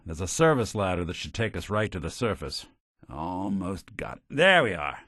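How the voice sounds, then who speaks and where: Low-voiced, hard-boiled American synth detective. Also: male voice, big pitch swings, normal speed, fairly bright tone, no echo, clean studio sound, heavily acted. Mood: casual, wry. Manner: wry, folksy old-time gumshoe delivery. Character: weary, wry synth private detective, kind but hard-boiled. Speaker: video game voice actor. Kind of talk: noir detective game dialogue.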